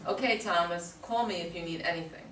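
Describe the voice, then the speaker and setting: A woman playing an eager, high-energy assistant who gushes about her boss in an amateur play reading. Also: a little fast, a bit bright, close to an everyday voice. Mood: businesslike, eager. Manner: gushing, eager. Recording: echoey room from far off, faint noise.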